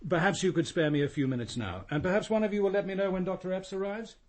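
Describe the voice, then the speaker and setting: An actor playing a cool, exacting police inspector who questions people in a measured, pointed way in a radio drama. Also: male voice, sits high, close to an everyday voice. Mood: coolly businesslike.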